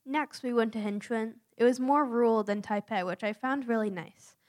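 A young woman from the United States reading a prepared personal account aloud in an earnest, steady way. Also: fairly high pitch, moderate pace. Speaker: youth mission volunteer.

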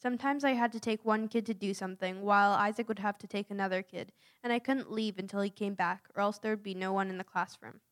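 An earnest young woman reads a prepared personal account aloud at a steady pace. Her voice sits in an ordinary middle range for a woman. She is from the United States and speaks as a youth mission volunteer. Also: medium pitch, fast, few pauses.

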